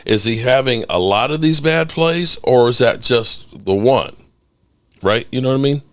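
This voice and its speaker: Man, middle-aged, sports call-in host, lively swinging pitch, dry close sound, joking and cursing. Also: moderate pace, no echo. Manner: animated, joking, swears freely. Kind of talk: casual call-in show talk.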